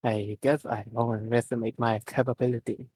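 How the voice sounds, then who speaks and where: A mild male inventor, read by a man in a story. He is apologetic, hesitant and a little grumbly. The pitch is ordinary and the recording very dry. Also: flat delivery, normal speed, darkish tone, husky, no echo, close to an everyday voice. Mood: sheepish.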